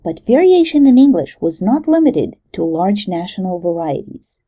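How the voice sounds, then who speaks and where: Woman reading a lecture, husky and kinda dark, pitch jumps around a lot. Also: sing-song, normal speed. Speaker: university lecturer. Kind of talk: recorded lecture narration.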